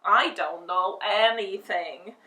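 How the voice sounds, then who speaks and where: A woman playing a friendly, brainless male scarecrow in a storybook, with a slow, exaggerated drawl. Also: medium pitch, pushed and bright. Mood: mournful. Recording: roomy, distant microphone.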